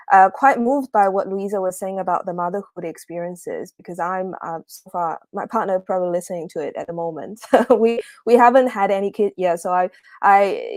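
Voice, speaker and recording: A young woman from China gives a livestreamed academic talk over a video call. Her voice sits a little low and sounds dry and close. She speaks hesitantly, with many fillers and restarts. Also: a little mellow, no room sound. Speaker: guest speaker, PhD researcher.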